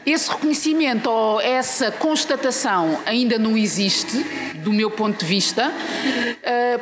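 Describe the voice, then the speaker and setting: A woman born in Mozambique and raised in Portugal, with a European Portuguese accent, speaking as an interview guest in a measured, thoughtful way with frequent hesitations. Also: a little high. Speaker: guest, founder of a professional network. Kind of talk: casual interview conversation. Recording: recording with clear background noise.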